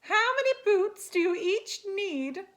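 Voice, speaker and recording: A woman's voice: very high voice, expressive, takes it slowly, a bit bright, voice pushed up, exaggerated voice. A woman voicing a commanding, exacting fairy-tale godmother spider, with a bold, insistent manner. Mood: questioning.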